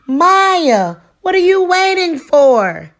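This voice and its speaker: A woman's voice: very high-pitched, big pitch swings, slow pace, a bit bright, voice pushed up, full character voice. A woman voicing an eager, earnest schoolboy in a children's storybook, with an exclamatory manner. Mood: urgent shouting. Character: eager, earnest schoolboy proud of following rules.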